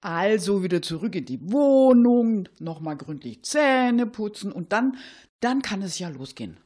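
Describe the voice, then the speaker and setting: A woman hosting a satirical cabaret radio show, speaking with playful irony and a husky voice. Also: mid-range voice, a little slow. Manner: playfully ironic, animated.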